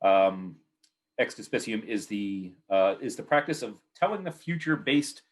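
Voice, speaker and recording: A man with a Canadian accent giving a poetry reading of his own work in short, clipped, repeating phrases. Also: fairly low pitch.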